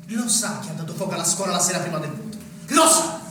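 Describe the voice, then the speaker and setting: A high, fast male voice rings through a very echoey space, an embittered small-town rebel. He is sarcastic and accusing, in a theatrical stage delivery. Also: lively intonation, pushed and bright. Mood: angry accusing. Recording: echoey room, distant mic, clear noise.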